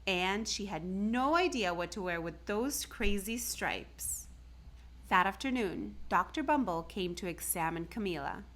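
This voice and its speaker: A woman narrating a children's storybook aloud in an expressive read-aloud style. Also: medium pitch, measured pace. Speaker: storybook reader.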